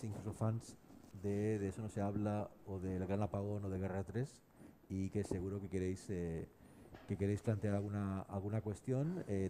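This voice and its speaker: A man from moderating a live discussion in measured phrases broken by short hesitations. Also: on the low side, background noise. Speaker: panel moderator. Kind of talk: spontaneous panel moderation. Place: live panel at a festival event.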